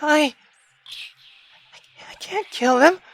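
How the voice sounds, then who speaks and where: A woman's voice: sits high, slow, pitched above the performer's own voice. A woman voicing a frightened, timid daughter from a visual novel, faltering and pleading as she protests. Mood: fearful.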